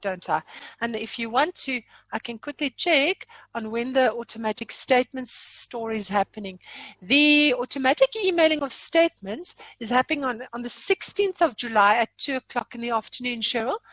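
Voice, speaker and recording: A woman's voice: on the high side, expressive, moderate pace, a bit bright, no room sound, rough recording. A woman hosting an informal online training session in a jokey, chatty, self-deprecating way. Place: remote online meeting from own room.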